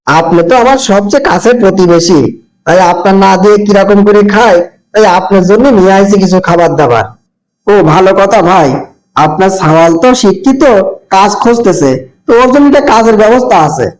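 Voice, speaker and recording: A man plays a generous cartoon neighbour from the village. He chatters briskly in a high-pitched male voice, friendly and folksy, with a rural Bangladeshi accent. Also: lively intonation, poor audio. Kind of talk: amateur cartoon voice acting.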